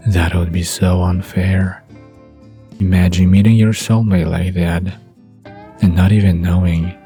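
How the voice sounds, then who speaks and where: An easygoing, amused young man, played by a male narrator in a bedtime audio drama. His voice is deep and gravelly, unhurried and intimate, with gentle guitar underneath. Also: flat delivery. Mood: teasing. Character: Jimmy, amused, easygoing young man musing about fate. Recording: soft guitar music behind the voice.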